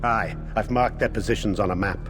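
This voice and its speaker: A man's voice: lowish voice, a little fast, natural voice. Grim scout played by a man over music. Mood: grim, urgent. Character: grim, dutiful male scout and soldier. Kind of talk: dramatic video game acting.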